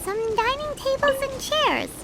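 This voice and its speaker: Very high-pitched childlike fairy sidekick. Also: female voice, expressive, measured pace, gravelly, very noisy, full character voice. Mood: eager, excitable. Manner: chirpy, exclaiming. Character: tiny floating fairy companion, childlike, eager and excitable. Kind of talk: video game voice acting. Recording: loud game music behind.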